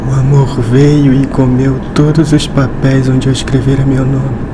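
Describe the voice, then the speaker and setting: A man reciting a poem in a slow, even manner. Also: mid-range voice, close to an everyday voice. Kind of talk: poetic monologue. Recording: loud background noise.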